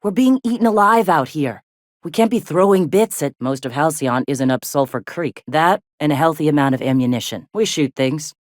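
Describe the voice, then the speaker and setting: An actress playing a tough, weary rebel medic and second-in-command in a video game, speaking in a blunt, sardonic, foul-mouthed way. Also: female voice, low voice, lively intonation, normal speed, dry recording, studio quality. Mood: grim, urgent. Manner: blunt, earthy, plain-spoken, sardonic. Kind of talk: video game dialogue acting.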